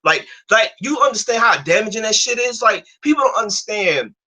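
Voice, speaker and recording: A man's voice: sits high, animated, brisk, pushed and bright. A man, ranting profanely on a live stream and stuttering often. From Atlanta, USA. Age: 20s. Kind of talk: casual chat, ranting.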